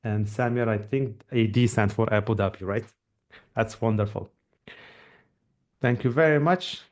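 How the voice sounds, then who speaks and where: A man's voice: on the low side, a little slow. A man from Morocco hosting a live online webinar, speaking in a friendly, encouraging way with frequent fillers and restarts, through a computer mic. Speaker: webinar host and AI trainer.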